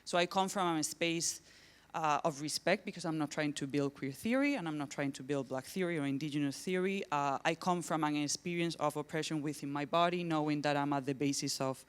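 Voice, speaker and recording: A woman's voice: low voice, a little fast, smooth. A woman from southern Spain with a Spanish accent, an artist and researcher giving an earnest conference presentation and searching for words as she goes. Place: lecture event.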